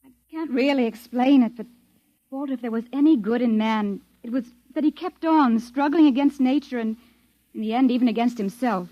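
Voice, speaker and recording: On a muffled 1950s radio recording, a woman acts a defiant female captive. Her voice is slightly high and very dry, without room sound. She speaks with earnest, pleading conviction, an idealist refusing to give up. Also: a little fast, dark tone, dry recording, lightly acted. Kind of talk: 1950s radio drama acting. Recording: dull, band-limited 1950s radio recording.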